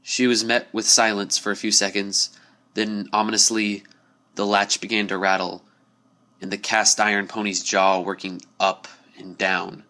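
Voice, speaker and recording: A man's voice: lowish voice, level tone, moderate pace, sharp tone. A man giving a dramatic scripted narration of a spooky story. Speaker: story reader.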